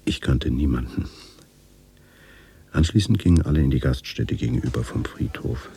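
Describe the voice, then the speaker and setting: An actor playing a sober, middle-aged doctor who recalls his past in measured, reflective radio drama narration, with a husky, breathy voice. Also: a bass voice, dark tone. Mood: reflective, subdued. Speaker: first-person narrator.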